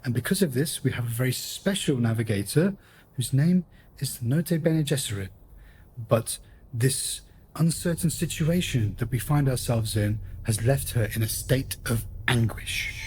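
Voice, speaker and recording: A man's voice: medium pitch, a little slow, a little mellow, a little hiss or hum. A man narrating and hosting a radio play in a formal, theatrical storyteller's manner. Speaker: host and narrator. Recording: music behind the voice.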